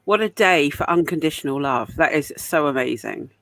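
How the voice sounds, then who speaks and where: A woman's voice: low-pitched, expressive, moderate pace, gravelly, fairly bright tone, no room sound. A woman hosting a podcast interview, reacting effusively and gushing over her guest. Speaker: podcast host. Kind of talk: warm interview chat.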